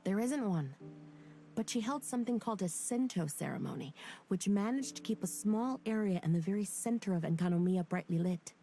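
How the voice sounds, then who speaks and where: A woman's voice: mid-range voice, expressive, natural voice. A woman voicing a loyal young female military officer who briefs her leader in a game cutscene, over game music. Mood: briefing. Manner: brisk, respectful, wry. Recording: game audio with loud music.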